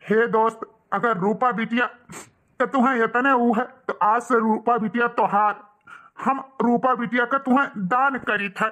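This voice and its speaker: A man's voice: extremely high pitch, fairly even intonation, normal speed, pushed and bright. A man voicing a loyal, earnest village man in a cartoon, with an Awadhi accent and an emphatic, declaiming delivery. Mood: earnest resolve.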